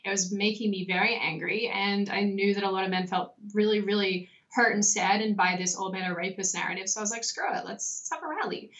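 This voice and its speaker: A woman's voice: medium pitch, steady tone, brisk, few pauses, fairly bright tone. A young woman with an Australian accent, a guest telling stories in a casual interview, with many likes and ums. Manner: chatty storyteller, frequent likes and ums. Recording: slightly dull lo-fi audio.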